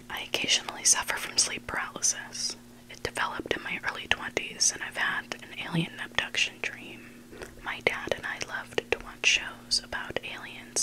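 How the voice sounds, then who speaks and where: A person reading stories aloud in a breathy, hushed ASMR whisper, close to the mic. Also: low-quality recording. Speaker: host reading listener-submitted stories. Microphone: close mic, made for headphones.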